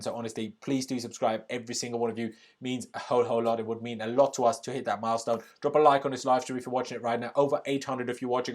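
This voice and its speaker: A man from Austria hosting a football fan live stream, chatting casually and reading out comments and donations in an upbeat way. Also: mid-range voice. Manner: chatty and upbeat, often says "bloody brilliant".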